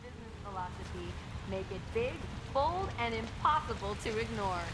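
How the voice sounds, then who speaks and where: Actress as brisk female fashion reporter, highish lively voice, game cutscene, easily irritated, noisy ambience. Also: lightly acted. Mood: polished presenting.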